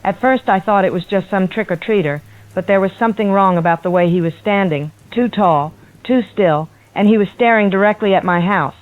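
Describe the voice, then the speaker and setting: A woman's voice: mid-range voice, brisk. A woman playing an unnerved, insistent eyewitness calling a radio show over a telephone line. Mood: uneasy. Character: unnerved married woman, watchful eyewitness, resolved to flee. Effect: telephone line filter. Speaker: radio phone-in caller. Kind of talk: radio horror drama. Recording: tinny narrow phone line.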